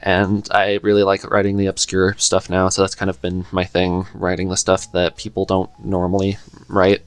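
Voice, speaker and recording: Podcast host, low voice, relaxed interview chat over faint music. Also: normal speed, clear, resonant tone, no room sound. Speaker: podcast host and script writer.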